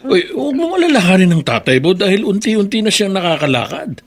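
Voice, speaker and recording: A man playing an old grandfather, gentle with family but stern as a father, in a Filipino radio drama, with a folksy, husky way of talking. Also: high-pitched, very animated, quick pace, exaggerated voice. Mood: chatty. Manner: folksy, sprinkles "eh".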